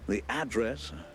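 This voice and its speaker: An actor playing a nervous, glad-handing cartoon politician who stammers and chuckles, in a 1930s-style game. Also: male voice, on the low side, a bit bright, a little hiss or hum, exaggerated voice. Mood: uneasy, helpful. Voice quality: creaky.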